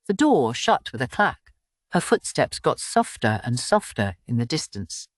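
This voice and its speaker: A woman reading a novel aloud as an audiobook narrator, in an expressive scripted storytelling style. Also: rumbling low, a little slow, dry recording, studio quality.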